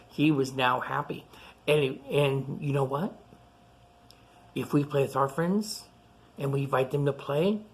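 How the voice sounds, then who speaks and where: A woman with a low voice leads a children's storytime. She talks in simple, repeated phrases and puts gentle, encouraging questions to her young listeners, as someone reading a picture book aloud does.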